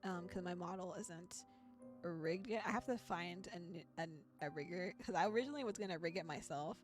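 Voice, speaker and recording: A woman's voice: fairly low pitch, brisk, soft background music. A woman chatting casually on a livestream while she plays a visual novel, with playful, giggly asides. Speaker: VTuber streamer and voice actor.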